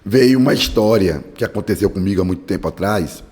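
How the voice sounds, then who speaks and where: Hoarse low-voiced preacher. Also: male voice, a little fast, a little mellow. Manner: earnest, fervent preaching cadence. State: hoarse from a cold. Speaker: Bible study podcast host. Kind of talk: devotional teaching with prayer. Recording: long hall reverb.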